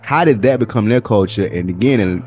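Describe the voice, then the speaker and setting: Low-voiced man on a casual podcast. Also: darkish tone, faint music behind. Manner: loose, slangy, talks through ideas with pauses and restarts. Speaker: podcast co-host. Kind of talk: casual chat.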